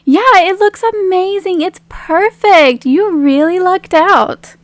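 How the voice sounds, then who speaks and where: A woman's voice: very high-pitched, slow, no room sound, studio quality, pitched above the performer's own voice. A woman voicing a bubbly, supportive young woman who gushes emphatically to her best friend in a tabletop roleplay game. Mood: gushing. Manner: gushing, emphatic.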